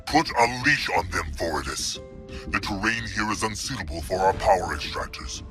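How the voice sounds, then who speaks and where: An actor playing a stern, cautious alien brute warlord who scolds and commands gravely in a video game cutscene. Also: male voice, deep voice, expressive, moderate pace. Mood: stern warning. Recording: loud game music behind the voice.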